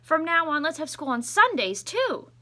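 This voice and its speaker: A woman voicing a proud, over-enthusiastic male school principal in a storybook, with grand, drawn-out emphasis. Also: high voice, big pitch swings, pushed and bright, pitched above the performer's own voice, heavily acted. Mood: proudly announcing.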